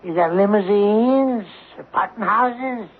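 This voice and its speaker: An actor playing a shrewd, impatient coin dealer in a radio drama, needling and dryly sarcastic. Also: male voice, sits high, slow, narrow, tinny audio. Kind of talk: 1970s radio drama acting. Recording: band-limited, slightly noisy old radio broadcast.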